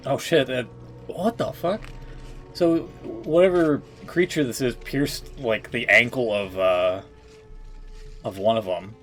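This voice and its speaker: A man's voice: on the low side, fairly bright tone. A man streaming, casually reacting to a game cutscene, thinking aloud in a loose, profane way.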